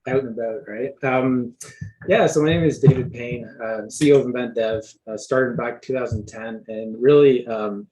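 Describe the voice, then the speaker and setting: A man's voice: medium pitch, fairly even intonation, moderate pace, mellow, low-quality recording. Canadian guy on a video call, super enthusiastic, mid voice. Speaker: CEO, panelist.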